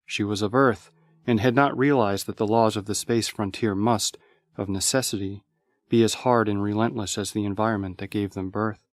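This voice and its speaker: A man's voice: on the low side, fairly even intonation, normal speed, no room sound, clean studio sound. A man reading scripted story narration.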